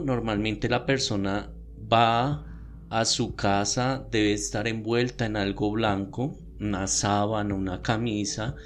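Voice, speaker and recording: A man with a Bogotá Colombian accent telling stories and explaining in a conversational, slightly hesitant way on a podcast. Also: fairly low pitch. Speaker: podcast panelist. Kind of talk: conversational storytelling. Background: music.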